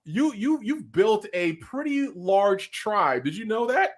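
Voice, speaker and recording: A man with a Nigerian accent hosting a live online talk show, energetic and hyped, over a video call mic. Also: high-pitched, slow pace. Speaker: host.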